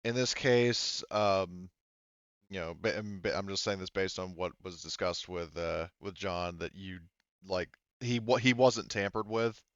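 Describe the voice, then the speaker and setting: A man refereeing a tabletop game as game master in casual, hesitant, halting phrases. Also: low-pitched, clean tone, no echo, highs cut off.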